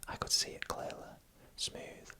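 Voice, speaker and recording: An ASMR storyteller speaks entirely in a whisper. The sound is bright and sharp-edged. The delivery is hushed and deliberate, drawing out suspense as a scripted narration.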